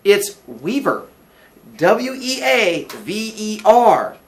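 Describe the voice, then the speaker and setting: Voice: medium pitch, very animated, extremely slow, pitched above the performer's own voice, exaggerated voice. A reader voicing an earnest, polite young Englishman from a story, puzzled and insistent, speaking eagerly with hesitant, emphatic phrasing.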